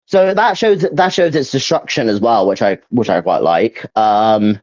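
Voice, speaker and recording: A man's voice: on the low side, measured pace, darkish tone. A young man chatting casually as he hosts a video game ranking, hesitant and repeating himself as he searches for words. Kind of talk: casual opinion chat about video games. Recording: dull, lo-fi mic.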